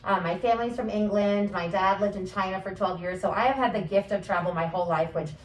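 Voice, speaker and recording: A woman's voice: mid-range voice, steady tone, fast, slight background noise. A young woman from New Jersey, a guest in a casual interview, who talks in an earnest, reflective way. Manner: reflective, earnest, often answers with "right". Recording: echoey room, mic at a distance.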